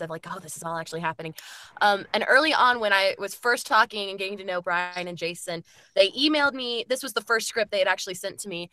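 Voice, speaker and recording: A woman's voice: medium pitch, bright, forceful tone, no echo. A woman on a podcast panel telling casual stories expressively, acting out her reactions. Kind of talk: casual animated storytelling.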